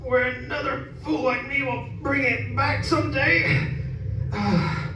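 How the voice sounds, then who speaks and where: A man's voice: very high-pitched, slow. Man acting a desperate father, heavily echoing. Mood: grim, strained. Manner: urgent, exclamatory, melodramatic. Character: desperate father racing to save his kidnapped daughter. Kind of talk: 1930s-style radio drama acting. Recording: very echoey, distant, noisy room.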